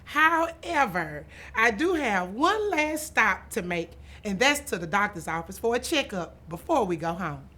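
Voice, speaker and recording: A woman voicing a patient mother in a children's story, polite, measured and matter-of-fact. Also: medium pitch, big pitch swings, pushed and bright, exaggerated voice. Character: patient, polite, firm mother of two girls.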